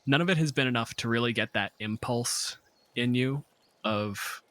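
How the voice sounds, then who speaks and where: A man's voice: mid-range voice, normal speed, a bit bright, dry recording. A man speaking casually as a game master, narrating scenes off the cuff with some profanity.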